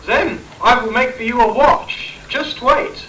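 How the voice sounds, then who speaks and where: A man's voice: extremely high pitch, unhurried, slight background noise, exaggerated voice. A man playing a shy, dreamy, pleading young boy in an amateur rhyming pantomime, with plaintive delivery. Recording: echoey amateur stage recording with loud music.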